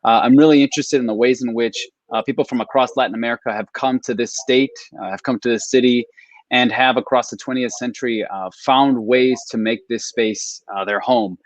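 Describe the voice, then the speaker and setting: Earnest professor on a dry video call. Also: male voice, medium pitch, steady tone, dry recording. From Milwaukee, Wisconsin, US. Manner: articulate, earnest, measured with frequent fillers. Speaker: history professor, guest. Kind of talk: guest on a video call talk.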